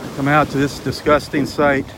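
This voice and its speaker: A man's voice: a little high, level tone, moderate pace. A man hosting a live walking video on a beach, talking in an earnest, dismayed way as he goes. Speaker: beach walk video host. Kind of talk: live walking vlog commentary. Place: outdoors on a windy beach by the surf. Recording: wind and surf noise on the mic. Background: crashing waves and wind.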